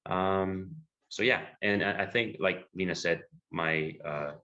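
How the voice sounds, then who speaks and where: A man born in South Korea introducing himself as a webinar guest, halting with fillers. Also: deep voice, steady tone, bright, forceful tone, lo-fi sound. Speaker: guest speaker.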